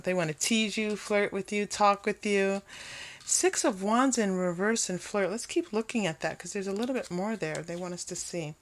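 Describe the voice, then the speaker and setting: A woman's voice: medium pitch, a little hiss or hum. A woman doing an unscripted tarot card reading, musing aloud and repeating phrases for emphasis as she addresses the viewer. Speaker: tarot reader.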